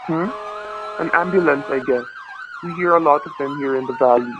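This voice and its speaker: A man acting a tense, high-voiced husband held hostage. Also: fairly quick, natural voice. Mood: anxious, placating. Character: quick-thinking husband narrating his hostage ordeal, outwardly obedient. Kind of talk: radio thriller acting and first-person narration. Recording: dull, noisy radio-play recording.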